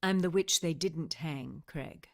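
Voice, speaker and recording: A woman's voice: deep voice, slow pace, background noise. A woman playing a seductive, commanding witch in a vintage-style radio drama, teasing and imperious, with intimate asides. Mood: commanding, coaxing.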